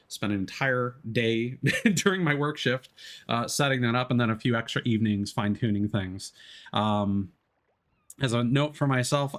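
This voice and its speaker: A man's voice: medium pitch, a bit bright. Rambling young man chatting on stream. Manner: rambling, scatterbrained, many fillers and restarts; casual, swears freely. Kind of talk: casual live-stream chat.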